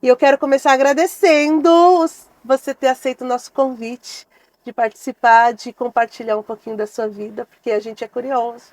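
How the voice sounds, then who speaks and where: A woman hosting a casual podcast interview, talking in a chatty, unhurried way. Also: a little high, fairly even intonation, no echo. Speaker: podcast host.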